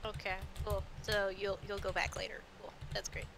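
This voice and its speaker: A woman running a casual tabletop roleplay as game master, sick with a sore throat and speaking haltingly, repeating herself. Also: medium pitch, little pitch movement, gravelly, fairly bright tone.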